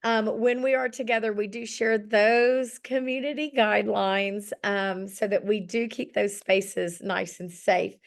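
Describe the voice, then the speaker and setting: A woman's voice: mid-range voice, steady tone, measured pace, vocal fry, few pauses. Slightly scratchy woman, chatty. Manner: chatty and encouraging, with many ums. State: slightly scratchy voice after illness. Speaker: journaling session facilitator. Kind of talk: casual online group session. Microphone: video call.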